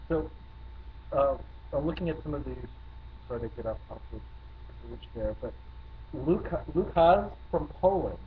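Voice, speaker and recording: A man's voice: medium pitch, expressive, moderate pace, pauses often. A man hosting a live video chat, interjecting prompts and reading out viewer questions. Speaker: host. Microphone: video call. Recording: tinny lo-fi line with faint noise.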